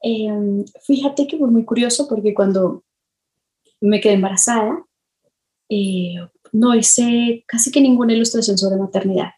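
A woman, speaking reflectively in an interview, thoughtful and halting as she searches for words. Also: a little high, dark tone. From Colombia. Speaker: illustrator, podcast guest.

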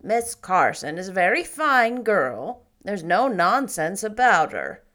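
A woman voicing a stern older woman who speaks slowly, firmly and severely. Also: mid-range voice, big pitch swings, a bit bright. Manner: severe, slow and firm.

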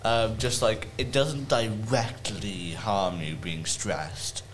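A man in a casual podcast chat who talks haltingly, restarting and hedging as he goes. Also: on the low side, fairly even intonation, slight background noise. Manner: halting, restarts and hedges often. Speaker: podcast co-host.

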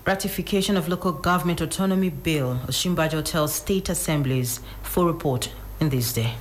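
Nigerian woman, news presenter, deep low-pitched voice, scripted headline reading, faint noise behind. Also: fairly quick.

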